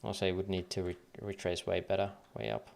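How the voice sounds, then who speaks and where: A man's voice: low voice, little pitch movement, fairly quick, gravelly, darkish tone. A man from Finland with a light Finnish accent, thinking aloud and counting waves under his breath as he goes through charts on a casual trading livestream.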